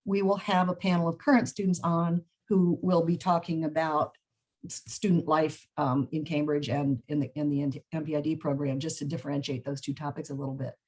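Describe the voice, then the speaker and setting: A woman's voice: low voice, little pitch movement, a little fast, a little mellow. A woman hosting an online alumni panel, friendly, with hesitant fillers, on a video call. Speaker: panel moderator.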